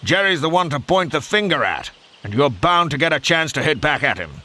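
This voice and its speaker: An actor playing a genial upper-class British wing commander in a video game, with a plummy British accent and jovial, courteous military slang. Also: male voice, a little high, very animated, a little fast, pushed and bright, exaggerated voice. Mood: jovial, encouraging. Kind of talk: video game cutscene acting.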